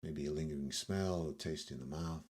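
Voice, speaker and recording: A man leading a guided meditation as the guide, speaking in measured short phrases with pauses between gentle instructions. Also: a bass voice, slightly rough. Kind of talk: guided yoga nidra meditation.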